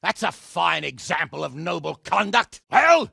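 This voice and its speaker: An actor playing a gruff, sardonic middle-aged medieval lord and commander in a video game, blunt and coarse, with a gravelly voice. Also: male voice, fairly high pitch, big pitch swings, unhurried, bright, forceful tone, no room sound, studio quality, full character voice. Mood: outraged. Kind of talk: dramatic video game voice acting.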